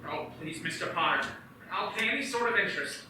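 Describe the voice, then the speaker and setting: A desperate young family man in a live radio play, halting and pleading. His voice is tense, bright and rather high, heard from a distance in a very echoey hall. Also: animated, slight background noise, exaggerated voice.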